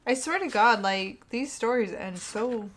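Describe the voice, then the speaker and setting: A woman co-host reading stories aloud dramatically, expressive and playful, often breaking off into casual asides. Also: fairly high pitch, unhurried. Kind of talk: dramatic story reading mixed with casual banter.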